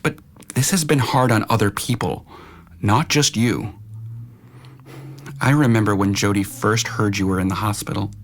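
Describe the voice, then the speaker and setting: A man playing a stern, protective middle-aged uncle in an audio drama, speaking firmly in a measured, admonishing way. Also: lowish voice, steady tone. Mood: stern, reproachful.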